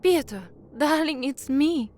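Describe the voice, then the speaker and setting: A woman's voice: high voice, animated, slow, a little mellow, a little hiss or hum. An actress playing a hopeful, earnest young woman newsreader from the slums in a science fiction audio drama. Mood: tender, relieved. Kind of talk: audio drama acting, newsreading and first-person narration.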